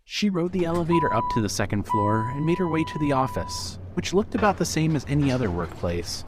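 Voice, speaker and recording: A man's voice: on the high side, big pitch swings, brisk, heavy background noise. A man giving scripted narration of a fiction story.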